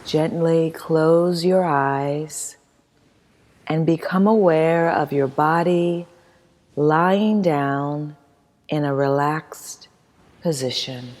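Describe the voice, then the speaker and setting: Very slow, low-voiced woman guiding meditation. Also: a little mellow. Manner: slow, soothing, measured with long pauses. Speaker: guided meditation instructor. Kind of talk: guided meditation, scripted narration. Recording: long hall-like reverb.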